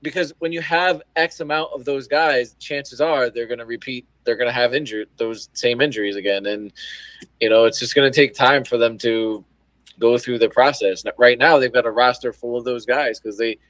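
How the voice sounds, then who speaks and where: A man's voice: medium pitch, fairly even intonation, normal speed, fairly bright tone, dry recording, rough recording. A man on a fan panel explaining his point repetitively in casual sports talk.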